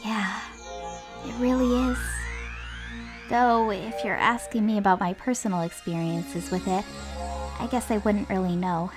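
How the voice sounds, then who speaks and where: A woman voicing a gentle, hopeful young girl in a comic dub, speaking tenderly and earnestly with a wistful manner. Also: mid-range voice, unhurried, pauses often, lightly acted. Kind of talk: scripted comic dub voice acting. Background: music.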